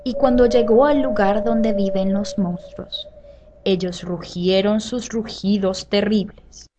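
A woman narrating a scripted children's story with dramatic pauses and drawn-out phrases. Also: medium pitch, fairly even intonation, dull audio. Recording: faint background noise.

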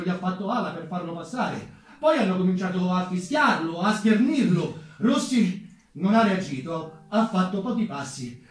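An actor playing an agitated government minister, an urgent and halting eyewitness in a theatrical monologue. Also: male voice, high voice. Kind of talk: stage monologue. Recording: echoey, distant, theatre-like recording.

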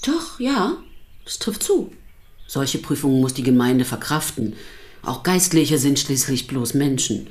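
An actress playing a sceptical pastor's wife in a radio drama, dry, articulate and dismissive. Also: female voice, rumbling low, very animated, unhurried, darkish tone, exaggerated voice. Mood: guarded. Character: sceptical, sardonic pastor's wife under questioning. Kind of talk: naturalistic radio drama acting.

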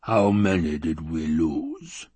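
An actor playing an obese, scheming, imperious baron in an audiobook drama, with a rumbling voice and slow, contemptuous delivery. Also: male voice, darkish tone, slightly rough, dry recording, exaggerated voice. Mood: cold questioning. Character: menacing, scheming, obese male baron and tyrant.

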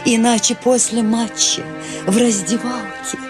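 An actress playing an eccentric, life-loving old woman in a radio play, talking in a warm, coaxing way. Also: a little high, steady tone, measured pace, music in the background, natural voice. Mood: impassioned. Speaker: Maude, lead role in radio play.

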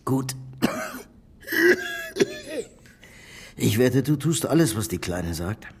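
An actor playing a shady, sickly male stranger in a crime radio drama, who is by turns gruff and slick. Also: mid-range voice, animated, moderate pace, mellow. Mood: gruff, hostile.

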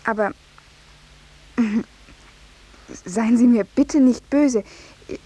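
A woman's voice: fairly high pitch, very slow, mellow, rough recording. An actress playing a young, coquettish but commanding lady in a 1950s German radio drama, coy and self-assured. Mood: conspiratorial. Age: young adult. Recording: vintage 1950s radio recording.

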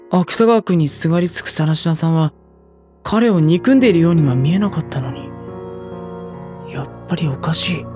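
A man's voice: a little high, lightly acted. An actor playing an anxious, introspective teenage schoolboy, narrating his brooding inner thoughts in a Japanese audio drama. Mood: puzzled, uneasy.

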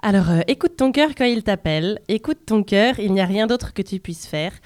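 A woman's voice: medium pitch, animated, moderate pace, darkish tone, no echo, clean studio sound. A woman hosting a casual radio music quiz in an informal, playful and self-deprecating way. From France. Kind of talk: casual chat, reading lyrics aloud. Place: radio studio.

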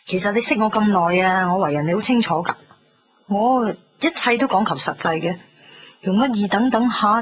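An actress playing a pragmatic, cynical, chatty shop girl in her twenties in a Cantonese radio drama, with a Hong Kong accent and a blunt, animated delivery. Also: mid-range voice, lightly acted. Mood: blunt, worldly. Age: 20s. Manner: animated, blunt, teasing, sprinkles English words. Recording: dull lo-fi radio recording, music behind.

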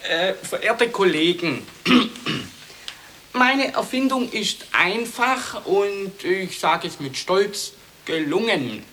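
An actor playing a courteous, hesitant but proud professor and inventor in an absurdist radio comedy, speaking formally. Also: male voice, high voice, animated, drawn-out words, bright, forceful tone, slight background noise, full character voice. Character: modest, proud male professor and inventor at a learned academy. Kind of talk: 1980s German absurdist radio comedy acting.

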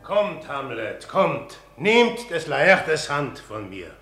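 A man's voice: a little high, very animated, slow pace, a bit bright, faint music behind, heavily acted. An actor playing a villainous, outwardly gracious king in a radio tragedy, ceremonious and commanding. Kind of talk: classic radio play acting of stage tragedy. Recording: echoing roomy radio drama staging.

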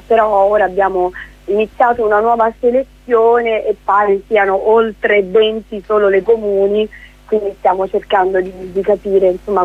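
A woman's voice: mid-range voice, no room sound, phone-quality sound. A woman speaking as a guest theatre director in a conversational radio interview, explaining things discursively.

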